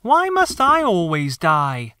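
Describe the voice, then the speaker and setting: A man's voice: high voice, wildly swooping pitch, takes it slowly, bright, forceful tone, clear, resonant tone, dry recording, clean studio sound, pitched above the performer's own voice, full character voice. A man voicing an indignant, stubborn young boy who argues and pleads, in an audiobook story.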